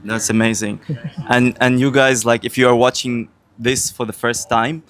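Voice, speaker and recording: Man from syria, interview host, easygoing chatty manner, mid pitch. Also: little pitch movement, normal speed, a bit bright. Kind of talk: casual interview.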